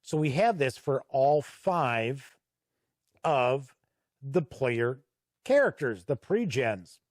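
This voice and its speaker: A man giving a casual product walkthrough as a reviewer, conversational and halting with frequent pauses. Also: a little high, a little mellow, no echo, clean studio sound. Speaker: tabletop game reviewer and host.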